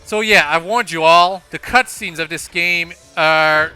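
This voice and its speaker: A man talks through a video game in a casual, explanatory way. His voice sits fairly high with a very bright, forceful edge. Faint music plays behind him. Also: a little slow, low-quality recording. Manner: informal, explanatory. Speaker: gameplay commentator.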